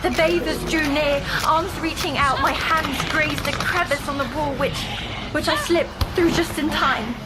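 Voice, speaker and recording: A woman playing a timid young girl in an audio drama, recounting nightmares in a hesitant, halting way. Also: high voice, very noisy. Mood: frightened. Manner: hesitant, halting, breaking off mid-phrase.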